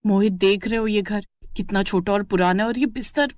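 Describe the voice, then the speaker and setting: A woman voicing a spoiled young city daughter-in-law, whiny, haughty and demanding, in an animated family story. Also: medium pitch, normal speed, no room sound, clean studio sound, natural voice. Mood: disgusted, complaining.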